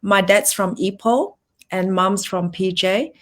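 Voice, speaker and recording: A woman's voice: mid-range voice, slow pace. A middle-aged woman with a Malaysian accent, chatting as a guest in a live interview over a video call, rambling with restarts and laughing easily.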